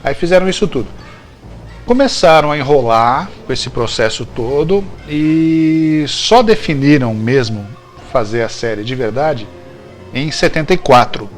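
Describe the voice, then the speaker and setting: A Brazilian man in a casual interview, telling stories as a guest, often repeating phrases and checking in with the listener. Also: fairly high pitch. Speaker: guest writer telling behind-the-scenes history of a TV series. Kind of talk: animated casual interview storytelling.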